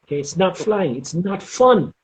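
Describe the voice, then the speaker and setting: A middle-aged man with a Jamaican accent giving advice in an interview, speaking emphatically and deliberately and often checking in with "okay?". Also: high-pitched, lively intonation, low-quality recording. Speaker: interview guest, former air traffic controller.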